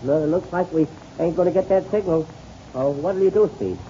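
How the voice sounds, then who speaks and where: An actor playing a fretful, stubbornly loyal airplane sidekick in a 1930s radio serial, stammering in folksy, ungrammatical speech. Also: male voice, on the high side, moderate pace, dark tone, natural voice. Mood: anxious, loyal. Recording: dull, noisy old radio recording.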